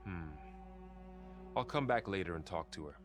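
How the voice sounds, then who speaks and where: A low-voiced, considerate man talks calmly and naturally as a hero's companion. It is game cutscene dialogue with clear music underneath. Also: moderate pace. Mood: concerned. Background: music behind the voice.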